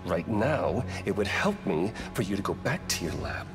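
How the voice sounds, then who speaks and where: An actor playing an arrogant, impatient corporate boss, curt and condescending, in a video game cutscene. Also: male voice, low-pitched, big pitch swings, normal speed, long reverb tail, over music. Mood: contemptuous.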